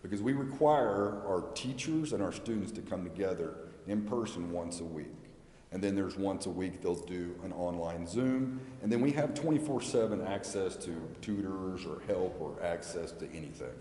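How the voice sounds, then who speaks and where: School representative, American male, very low mellow voice, presenting in a reverberant chamber. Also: moderate pace. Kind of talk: public presentation to a council. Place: large indoor council chamber. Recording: echoey reverberant hall, background noise.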